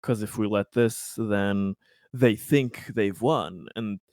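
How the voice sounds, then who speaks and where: A man playing a tabletop roleplaying game, casually arguing out plans and haltingly thinking aloud. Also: on the low side, a little mellow, no room sound, studio quality.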